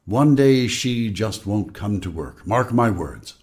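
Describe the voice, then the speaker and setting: A man's voice: lowish voice, expressive, slow pace, darkish tone. A man acting in an audio drama as the wary, cautioning inner voice of a fearful man. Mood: warning. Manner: cautioning, conspiratorial.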